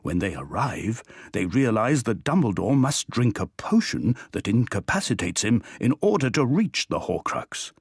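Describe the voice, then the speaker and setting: Deep-voiced, husky male narrator. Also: big pitch swings, normal speed, hardly any pauses, no room sound. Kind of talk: scripted story narration.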